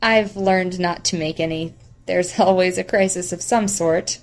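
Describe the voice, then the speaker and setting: Woman narrator doing a tired but composed lady therapist, slightly low voice, measured and professional, audiobook. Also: fairly even intonation. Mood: resigned.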